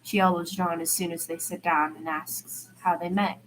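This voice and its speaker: A woman's voice: fairly low pitch, flat delivery, normal speed, a little hiss or hum, poor audio. A woman narrating a story as an amateur audiobook reader, informal, with the occasional small stumble. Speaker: podfic narrator. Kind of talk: amateur fiction reading with character dialogue.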